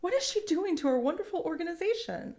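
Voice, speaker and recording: A woman's voice: extremely high pitch, big pitch swings, fast talker, darkish tone, full character voice. An actress playing an anxious, earnest woman recovering in physical therapy. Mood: worried.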